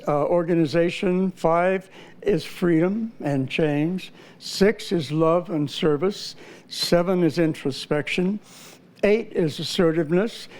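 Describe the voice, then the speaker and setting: A man's voice: sits high, darkish tone. A man being interviewed as a numerologist, earnestly explaining ideas step by step with some fillers. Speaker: numerologist guest.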